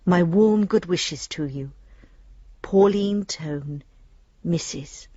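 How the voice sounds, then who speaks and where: An actress playing a discreet, polite bank lending adviser, reading a formal, confiding letter aloud in a radio drama. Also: female voice, fairly low pitch, slow, warm tone, dry recording, muffled recording.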